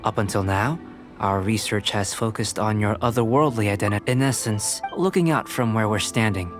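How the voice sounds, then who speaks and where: A man playing a calm, scholarly young alchemist in a video game, polite and curious, with measured, formal phrasing. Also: lowish voice, lightly acted. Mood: analytical. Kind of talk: video game character acting. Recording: game music behind the voice.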